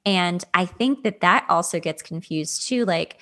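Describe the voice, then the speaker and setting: A woman's voice: lowish voice, measured pace, fairly bright tone. A woman hosting a podcast, talking casually about personal advice in a conversational way, repeating words for emphasis. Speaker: podcast host. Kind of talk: casual dating advice chat.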